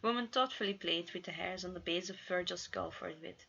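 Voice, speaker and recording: A woman giving an amateur, expressive reading of a story, now and then stumbling over words. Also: lowish voice, a little fast, creaky, husky, muffled recording. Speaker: fan fiction narrator.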